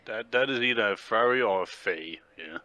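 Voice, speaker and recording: A man's voice: on the low side, brisk, pushed and bright. A man chatting casually as co-commentator on a game playthrough, rambling and repeating his words as he goes. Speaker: gaming stream co-commentator.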